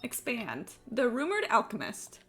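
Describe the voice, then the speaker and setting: A woman's voice: a little high, huge pitch swings, a little slow, fairly bright tone. A woman chatting casually on a gaming livestream in a rambling, sweary, self-deprecating way. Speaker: live game streamer. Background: game music.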